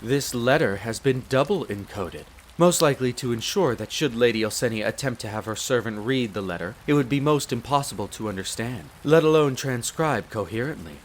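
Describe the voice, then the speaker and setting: A man voicing a courteous, devoted butler in an audio roleplay, speaking in a formal, polite and measured way straight to the listener. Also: medium pitch, lightly acted. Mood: composed, explanatory. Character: courteous, devoted demi-human butler in a vampire's castle. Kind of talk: scripted roleplay addressed to the listener. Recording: rain noise behind the voice. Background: steady rain of a storm.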